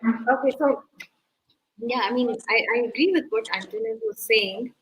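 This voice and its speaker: A woman's voice: a little high, big pitch swings, moderate pace, fairly bright tone, a little hiss or hum, rough recording. A woman co-hosting a casual live chat, easygoing, reading viewer questions aloud and answering them. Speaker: co-host.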